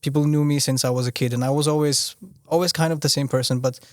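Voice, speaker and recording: A man's voice: fairly high pitch, steady tone, fast, dry recording, studio quality. A young man from Austria, a podcast guest talking casually, often restarting his sentences and leaning on "you know". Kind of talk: casual conversational interview.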